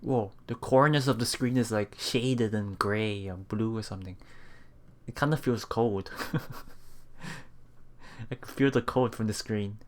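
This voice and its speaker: A man's voice: fairly low pitch, slow pace, pauses often. A man doing a let's play, reading a visual novel aloud as narrator and adding casual remarks. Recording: game music behind the voice.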